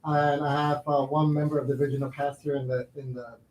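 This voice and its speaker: A man's voice: on the high side, little pitch movement, a little fast, darkish tone. A man speaking as a playwright at a live staged reading, giving introductions and narrating stage directions. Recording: echoey room with background noise.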